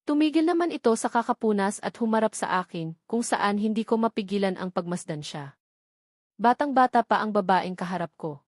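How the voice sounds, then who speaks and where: A woman's voice: medium pitch, expressive, brisk, no room sound, clean studio sound. A woman with a Filipino accent reading a first-person romance novel as an audiobook narrator.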